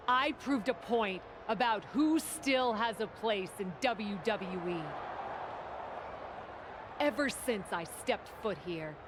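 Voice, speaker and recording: Slow, scornful female wrestler. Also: fairly high pitch, background noise. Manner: deliberate, theatrical, sardonic. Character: scornful female wrestler delivering a contemptuous ring promo. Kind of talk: wrestling promo, game cutscene acting.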